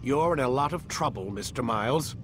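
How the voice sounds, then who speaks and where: An actor playing a cold, domineering older scientist in a video game, with clipped, commanding speech. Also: male voice, medium pitch, lively intonation, fairly quick, noisy background, lightly acted. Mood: clipped, menacing. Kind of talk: naturalistic video game dialogue.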